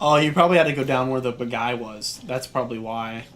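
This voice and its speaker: A man joking with a friend and giving directions while they play a horror game. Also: mid-range voice. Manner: teasing, laughing, giving directions. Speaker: gamer co-commentating. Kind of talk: casual gaming banter.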